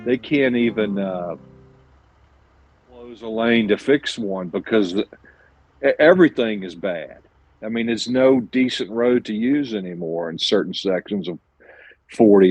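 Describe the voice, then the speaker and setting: A man's voice: on the low side, unhurried, darkish tone, slightly rough, dry recording, low-quality recording. A man who drives his own truck, answering interview questions in a plainspoken, earnest way, with many restarts and self-corrections. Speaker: owner-operator truck driver, interview guest.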